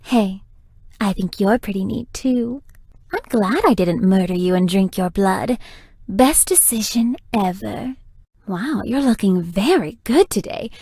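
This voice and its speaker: A woman's voice: mid-range voice, lively intonation, a little slow, warm tone, breathy edge, no echo, studio quality. A woman voicing a flirtatious, playful, theatrical vampire in a video game, teasing and melodramatic. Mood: flirty, playful. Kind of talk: scripted video game character dialogue.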